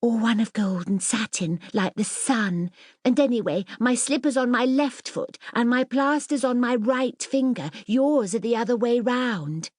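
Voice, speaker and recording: A woman's voice: a little high, unhurried, a little mellow, no room sound, clean studio sound, pitched above the performer's own voice. A woman voicing a boastful, mischievous young princess in a grand, bossy, theatrical manner for a children's audiobook. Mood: haughty.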